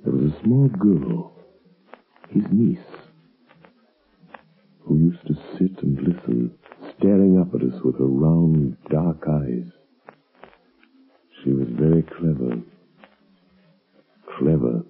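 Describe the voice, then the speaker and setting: An actor playing a suave, mysterious crime-fighter with a measured, portentous delivery in a 1930s radio drama. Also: male voice, very deep voice, animated, creaky, slightly rough, soft background music, exaggerated voice. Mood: brooding. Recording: muffled tinny 1930s radio broadcast recording.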